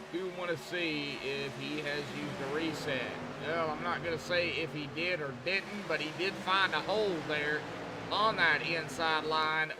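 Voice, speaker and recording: A man's voice: fairly high pitch, noisy background. A man with a Southern US accent calling a race live in a folksy, rambling way, often repeating phrases. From Arkansas, southern United States. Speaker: race commentator. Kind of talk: live sim racing commentary, folksy and conversational.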